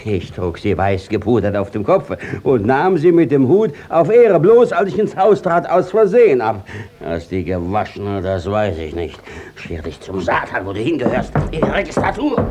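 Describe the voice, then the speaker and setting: A man playing a shifty, battered village judge in a German radio play, blustering and stammering evasively with a husky voice. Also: medium pitch, big pitch swings, moderate pace, a little mellow. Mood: flustered, blustering. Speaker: actor in a radio drama.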